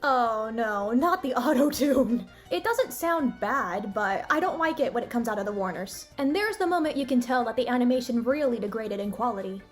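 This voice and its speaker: A woman's voice: a little high, expressive, normal speed, hardly any pauses. A woman giving candid, critical review commentary in a conversational, opinionated way. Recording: music bed behind the voice. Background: background music.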